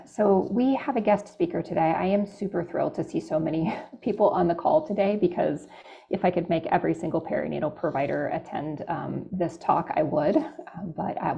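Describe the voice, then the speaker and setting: A woman's voice: fairly low pitch, vocal fry, mellow. A woman hosting an online meeting who introduces a guest speaker in an upbeat, chatty way, with fillers and small laughs. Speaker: meeting host. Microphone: video call.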